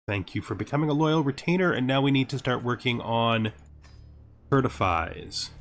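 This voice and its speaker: A man's voice: medium pitch, expressive, normal speed, a little hiss or hum. A man giving casual video game commentary, thinking aloud with rhetorical questions and exasperated asides, sometimes reading game text aloud. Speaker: gaming series host. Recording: music behind the voice. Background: background music.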